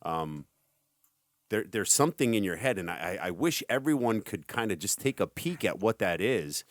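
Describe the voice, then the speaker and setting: Middle-aged host guy, really low voice, pumped motivational talk, dry close mic. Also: normal speed, dry recording. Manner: energetic, hype-style motivational. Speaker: podcast host. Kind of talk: casual podcast talk.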